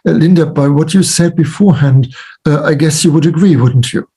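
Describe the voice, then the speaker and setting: Male moderator, husky, slightly high, genial and joking, video-call sound. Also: a little fast, warm tone. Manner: affable, jokey, many back-channel murmurs. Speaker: panel moderator. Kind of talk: online panel discussion.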